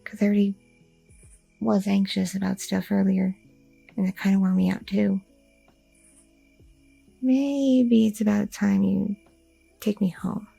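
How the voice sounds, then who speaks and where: A woman voicing a sweet, anxious young goth girlfriend in an ASMR roleplay, talking softly and a little hesitantly, close to the mic. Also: medium pitch, fairly even intonation, a little slow, gravelly, pauses often, slightly rough, no echo, faint music behind, voice pushed down, full character voice. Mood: sleepy, affectionate. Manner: soft, affectionate, a little hesitant, with fillers.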